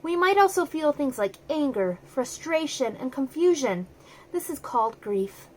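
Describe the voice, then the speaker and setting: A woman's voice: high voice, very animated, a little slow, slight background noise, rough recording. A woman voicing a wise old female elephant from a children's storybook, speaking in a soothing, reassuring, explanatory way. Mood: gently explaining. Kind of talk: children's storybook read-aloud.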